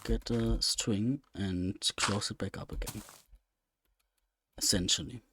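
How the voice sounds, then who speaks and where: A man's voice: on the low side, slow pace, background noise. A man giving casual, unscripted video game commentary, rambling and thinking aloud with hesitation. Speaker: let's play host.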